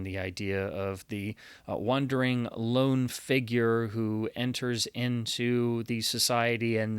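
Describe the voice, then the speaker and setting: A man's voice: fairly low pitch, clear, resonant tone, no room sound. A man delivering review commentary in an earnest, articulate, enthusiastic way, as a critic talking through a subject he likes. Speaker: reviewer, radio series critic. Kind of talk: review commentary, semi-scripted monologue.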